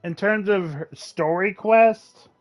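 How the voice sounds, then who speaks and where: A man giving casual, halting reaction commentary as a game streamer. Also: high voice.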